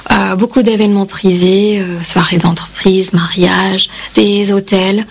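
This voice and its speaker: A woman's voice: medium pitch, fairly even intonation, unhurried, creaky, slightly rough. A woman from India raised in Yemen, a native Kannada speaker, answering interview questions as a singer, reflective and hesitant with fillers. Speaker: singer, guest.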